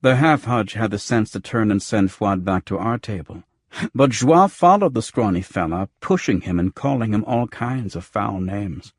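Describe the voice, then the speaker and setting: Male narrator, low-pitched, deliberate pace, noir fiction audiobook, expressive range. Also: no echo, clean studio sound. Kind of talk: scripted first-person noir fiction narration.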